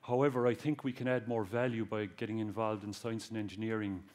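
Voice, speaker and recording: A man's voice: fairly low pitch, fairly even intonation, a little fast, a little mellow. A man with a Cork Irish accent giving a public talk to students, joking and addressing the audience directly. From Ireland. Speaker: guest speaker, research engineer.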